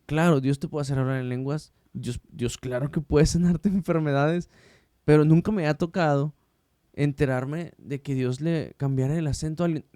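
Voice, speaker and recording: Mellow, smooth-voiced podcast host. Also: male voice, on the high side, fairly even intonation, moderate pace, dry recording, studio quality. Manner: chatty, slangy, many restarts. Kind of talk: casual podcast conversation.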